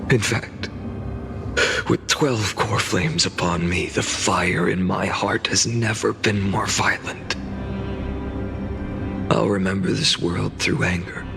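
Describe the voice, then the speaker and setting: A man voicing a brooding, burdened warrior in a video game, sorrowful and quietly wrathful, with a solemn, weighty delivery. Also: low-pitched, steady tone, slow pace, creaky, pauses often, in a large hall, music in the background, full character voice. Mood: quiet anger. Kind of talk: dramatic game voice acting, narration.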